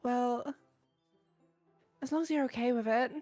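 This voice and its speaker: A woman voicing a shy, bookish, insecure teenage girl in a visual novel, hesitant, soft-spoken and earnest. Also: fairly high pitch, unhurried, faint music behind, natural voice. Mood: flustered. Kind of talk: visual novel character voice acting.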